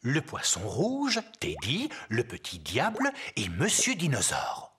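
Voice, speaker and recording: A woman's voice: rumbling low, wildly swooping pitch, slow, husky, full character voice. A woman narrating a French preschool cartoon in a scripted storytelling style.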